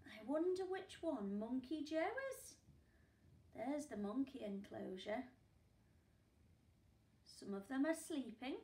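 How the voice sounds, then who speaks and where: A woman in her forties, a primary school teacher reading a picture book aloud at home, with gasps and hushed asides for young listeners. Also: high-pitched, lively intonation, slow pace, pauses often, reverberant. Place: living room at home, indoors. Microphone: camera a few feet away.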